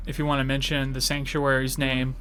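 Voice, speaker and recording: Casting: relaxed male co-host, casual chat, light hiss in the room. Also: mid-range voice, a little fast. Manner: easygoing, laughs often, fillers like "you know". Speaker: podcast co-host.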